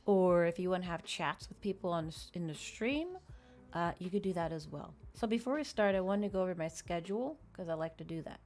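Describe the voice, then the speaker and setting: A woman's voice: on the low side, fairly quick, darkish tone. A woman live streamer chatting casually and informally to her viewers in a friendly way. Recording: music playing behind the voice. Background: background music.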